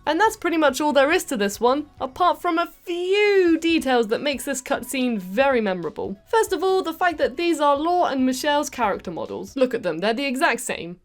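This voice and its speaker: A British woman giving chatty, comedic video game commentary in an expressive, playfully exasperated and mildly sweary way. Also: high-pitched. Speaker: video game reviewer and channel host. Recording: music behind the voice. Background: background music.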